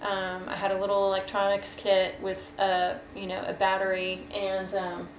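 A woman's voice: mid-range voice, monotone, moderate pace, a little hiss or hum. Chatty woman in an echoey kitchen. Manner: chatty, rambling, frank, many fillers. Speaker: hiking vlogger. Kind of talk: casual gear review. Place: kitchen at home, indoors. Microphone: webcam at desk. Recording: echoey room, faint ambience.

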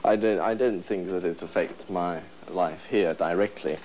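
A man's voice: deep voice, moderate pace, a little mellow, slight background noise, rough recording. A young man in his twenties being interviewed in a study, answering in a wry, hesitant way with ums. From England. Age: 20s. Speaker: union president interviewee. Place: study room, indoors.

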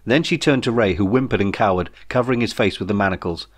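A man's voice: fairly low pitch, quick pace. A man reading a comic novel as scripted audiobook narration, in a wry storytelling manner. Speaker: author reading own novel.

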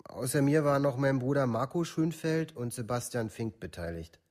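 Man acting teenage suspect, medium pitch, detached, formal recitation, radio drama interrogation. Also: normal speed, darkish tone, close to an everyday voice. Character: teenage male murder suspect giving flat police statement.

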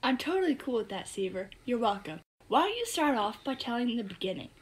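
A cool young girl played by a woman, casual and teasing. Her voice is high and husky, in audio drama dialogue. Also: sing-song, brisk. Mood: easygoing, teasing.

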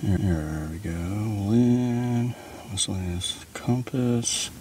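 A man's voice: fairly low pitch, drawn-out words, a little mellow, slight background noise. A man in his thirties giving an informal, step-by-step tech tutorial as the host. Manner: informal, instructional.

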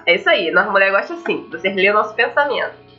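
A woman's voice: medium pitch, expressive, fast talker, pushed and bright. A young woman with a Brazilian accent, chatting informally and reading aloud as she plays a visual novel. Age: young adult. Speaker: gaming video host. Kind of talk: visual novel let's play, casual commentary and reading aloud. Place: indoors at home, facing a webcam. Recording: muffled lo-fi mic, game music behind.

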